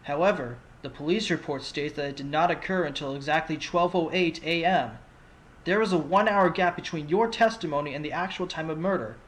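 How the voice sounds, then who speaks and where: A man's voice: fairly high pitch, a little fast, a bit bright, natural voice. A man voicing a cocky young fox defense lawyer, smug and pointed, in a fan audio drama. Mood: accusing.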